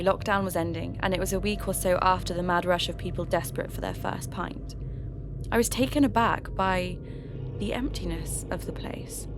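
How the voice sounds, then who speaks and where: A woman with a British accent playing a sceptical, curious radio presenter, narrating her investigation in a measured, scripted podcast style. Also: lowish voice, close to an everyday voice. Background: music.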